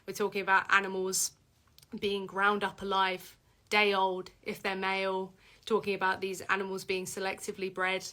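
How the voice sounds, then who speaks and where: A woman's voice: completely flat delivery, normal speed, bright, forceful tone. A woman hosting a casual livestream Q&A, reading out viewer comments and answering with many fillers and restarts. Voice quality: deep voice for a woman.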